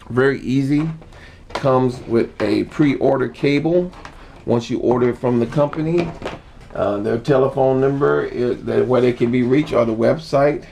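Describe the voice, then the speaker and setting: A man giving an informal how-to demonstration, explaining step by step in a halting manner. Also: medium pitch, creaky, darkish tone, a little hiss or hum. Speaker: hobbyist demonstrator. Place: indoor room.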